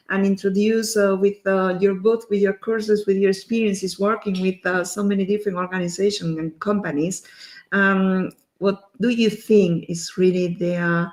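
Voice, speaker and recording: Female stream host, halting and rambling delivery, echoey room sound. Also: medium pitch. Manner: halting, loosely built phrasing. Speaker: host of a livestream. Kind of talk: live stream interview.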